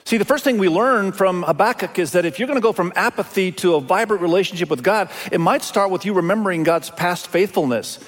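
A man from Indonesia, a native Indonesian speaker, preaching a sermon as a pastor in an emphatic way with deliberate pauses and repetition. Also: high voice. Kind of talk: expository sermon. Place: large hall, speaking to a congregation. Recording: reverberant large hall sound.

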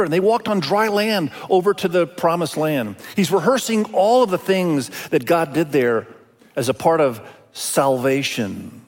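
Higher-voiced male preacher in a reverberant hall. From Indonesia. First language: Indonesian. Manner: emphatic, with deliberate pauses and repetition. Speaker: senior pastor preaching. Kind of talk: expository sermon. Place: large hall, speaking to a congregation. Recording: reverberant large hall sound.

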